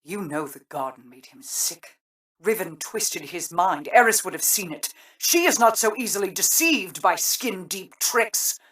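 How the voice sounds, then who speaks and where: A woman's voice: fairly low pitch, expressive, measured pace, a bit bright, recorded from a distance, rough recording. A woman voice actor playing a regal, guarded, cool-headed queen in a video game. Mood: firm.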